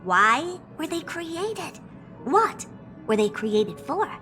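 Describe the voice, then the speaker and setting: Very high youthful female voice, eccentric genius scientist, smug, theatrical, video game character, music behind. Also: sing-song, extremely slow, bright, forceful tone, exaggerated voice. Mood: intrigued, awed. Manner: self-assured, theatrical, smug.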